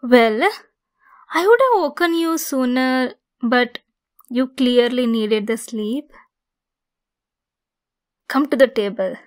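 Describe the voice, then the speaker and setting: Female centaur for ASMR roleplay, played by a woman: possessive yet caring, lightly teasing, higher-pitched, very unhurried delivery. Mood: calm, caring. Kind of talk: ASMR roleplay, scripted monologue.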